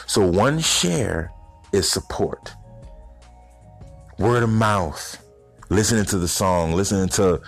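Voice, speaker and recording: A man in his thirties hosting an informal motivational podcast, speaking straight to listeners in slangy language with rhetorical questions. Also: deep voice. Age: 30s. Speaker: podcast host.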